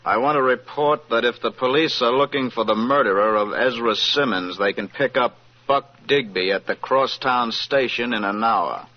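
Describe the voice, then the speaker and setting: A man playing a calculating, smooth-talking crime boss, curt and commanding, in old-time radio drama style. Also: medium pitch, moderate pace, close to an everyday voice. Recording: muffled old radio recording.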